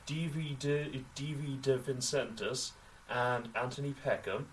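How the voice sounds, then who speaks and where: A man co-hosting a casual film news podcast, sometimes reading items out, with halting delivery and wry asides. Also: mid-range voice, a little hiss or hum. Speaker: podcast co-host. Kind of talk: casual film news discussion, partly read out. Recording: voice slightly distant from microphone.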